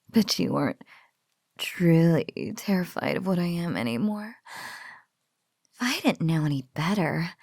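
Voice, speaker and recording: A close, dry, breathy female spider monster acted by a woman in an intimate audio roleplay. She coos slowly and possessively over her captive, teasing and doting, her drawl often sinking into whispers. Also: fairly low pitch, darkish tone, no echo, full character voice. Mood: tender, musing. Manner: teasing, drawling coo with giggles.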